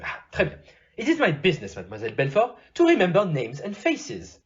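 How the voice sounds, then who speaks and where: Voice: mid-range voice, huge pitch swings, brisk, husky, heavily acted. A performer voicing a courteous, shrewd, fatherly male detective in an audio play, with formal, theatrical phrasing and a put-on French accent. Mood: courteous, probing. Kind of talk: amateur audio play acting. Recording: dull, muffled lo-fi.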